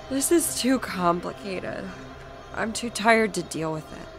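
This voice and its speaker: An actress playing an exhausted, doubting woman in an audio drama. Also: medium pitch. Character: exhausted, doubting woman freed from alien control.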